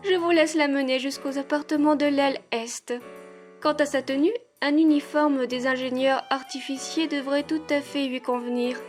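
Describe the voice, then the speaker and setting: Casting: actress playing effusive aristocratic lady of a steampunk manor, high refined voice, faint music underneath. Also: fairly even intonation, close to an everyday voice. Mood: explanatory, reassuring. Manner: refined, polite, airy, drawn-out. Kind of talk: French audio roleplay acting.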